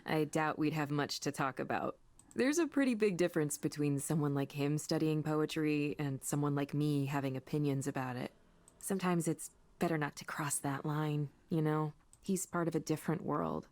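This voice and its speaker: Chatty, self-effacing low-voiced young woman. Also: normal speed, no echo. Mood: wistful, self-deprecating. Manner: conversational, animated, self-effacing. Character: self-deprecating, chatty, curious young woman with artistic leanings. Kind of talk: naturalistic visual novel acting. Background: game music.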